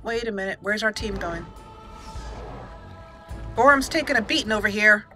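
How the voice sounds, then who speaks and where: A woman gives casual commentary on a video game in a bright, crisp-edged voice of middling pitch. She chats aloud to the characters as she plays. Light music runs behind her. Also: normal speed. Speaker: gaming channel host. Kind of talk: casual let's play commentary.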